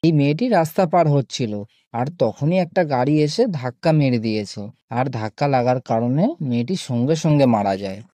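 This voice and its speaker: Man acting a male onlooker, serious, soft dark tone, dry sound, cartoon story. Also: medium pitch, fairly quick, dry recording, lightly acted. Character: male bystander reporting a fatal accident.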